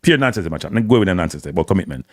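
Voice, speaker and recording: A man's voice: low-pitched, animated, racing, no echo, clean studio sound. A man with a Jamaican accent delivering a blunt, heated football rant, slipping into patois. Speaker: football commentator. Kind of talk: live opinion rant.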